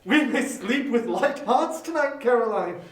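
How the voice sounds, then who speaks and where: Man acting careworn young husband, very high-pitched. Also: animated, a little slow, roomy sound. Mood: earnest relief. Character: careworn young husband, gentle, earnest, quietly relieved. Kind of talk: dramatic audiobook reading.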